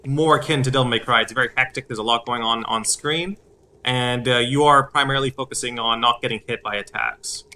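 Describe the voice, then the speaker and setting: A man's voice: mid-range voice, steady tone, brisk, sharp tone, a little hiss or hum. A man giving explanatory video game commentary, with hesitant phrasing and repeated words. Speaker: gameplay host. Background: game music.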